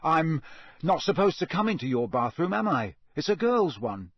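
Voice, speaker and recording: Man playing indignant young wizard boy, raised pitch. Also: very animated, moderate pace, breathy edge, dry recording, studio quality, exaggerated voice. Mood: awkward. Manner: outraged, awkward, defensive.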